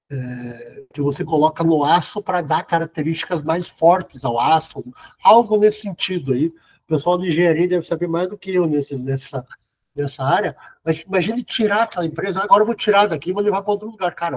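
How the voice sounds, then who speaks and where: A middle-aged man lectures in a loose, chatty way, peppering his points with casual tags. His voice is husky and sits a bit high. The video call audio sounds dull and lo-fi. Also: a little mellow. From southern Brazil. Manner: conversational, sprinkles "cara" and "né". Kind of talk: casual lecture over web conference.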